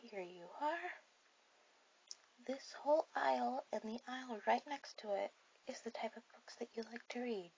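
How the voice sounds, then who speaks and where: A woman voicing a shy, sweet, bookish teenage anime girl in a soft-spoken, hesitant ASMR roleplay. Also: fairly high pitch, normal speed. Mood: helpful, sweet. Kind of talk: ASMR romantic roleplay addressed to the listener. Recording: dull lo-fi audio with clear hiss.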